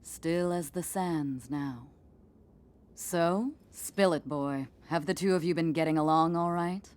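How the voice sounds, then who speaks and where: A woman playing a poised, protective warrior in a video game, speaking in a measured, knowing and solemn manner. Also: low voice, a little mellow. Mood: wry, teasing. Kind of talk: video game cutscene acting.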